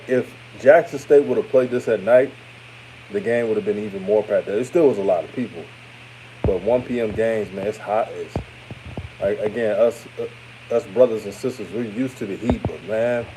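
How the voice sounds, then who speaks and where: Mellow low-voiced man. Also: moderate pace, pauses often, slight background noise, poor audio. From Houston, Texas, United States. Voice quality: older-sounding radio voice. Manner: conversational, addresses viewers as family. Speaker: sports show host. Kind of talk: casual livestream postgame chat, reading and answering comments.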